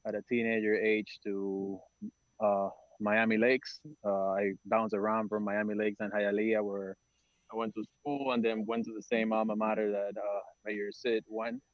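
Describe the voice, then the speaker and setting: Halting low-voiced man, flat delivery. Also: no echo, dull audio. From Dominican Republic. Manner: halting, many "uh" fillers and restarts. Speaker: nonprofit testing organizer. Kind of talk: briefing a council meeting.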